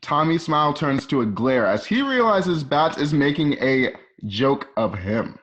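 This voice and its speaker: A man's voice: fairly high pitch, big pitch swings, measured pace, fairly bright tone, muffled recording. A man narrating screenplay scene directions like a storyteller, recorded at home. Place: at home, remote ensemble session.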